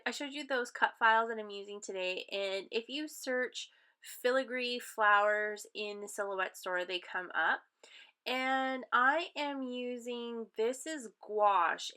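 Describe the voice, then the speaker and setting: A woman's voice: medium pitch, bright, forceful tone. A middle-aged woman from Canada, chatting casually over her crafting with lots of ums, sounding nasal and stuffy from a cold. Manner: chatty, rambling, many ums. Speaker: craft vlogger.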